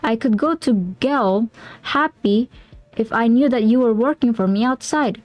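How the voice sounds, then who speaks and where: A woman's voice: fairly high pitch, normal speed, no room sound, soft background music, close to an everyday voice. A woman voicing a frantic, pleading young male solicitor who talks in a rush and sounds breathless. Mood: desperate.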